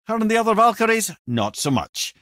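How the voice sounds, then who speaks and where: A Scottish actor voicing a witty, talkative old male sage in a video game, with a husky voice and a wry storyteller's manner. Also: high voice, big pitch swings, fairly quick, a bit bright, dry recording, clean studio sound. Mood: explaining.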